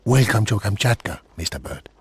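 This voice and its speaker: An actor playing a world-weary undercover informant in a video game, with a husky voice and a dry, matter-of-fact delivery. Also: male voice, on the low side, animated, normal speed, no room sound, exaggerated voice. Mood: wry, matter-of-fact. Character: wry, world-weary male undercover informant at military base.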